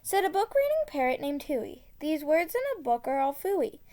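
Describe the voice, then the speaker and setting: A woman reading a children's tongue-twister book aloud, enunciating carefully and deliberately. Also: high voice, sing-song, warm tone. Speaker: storybook reader.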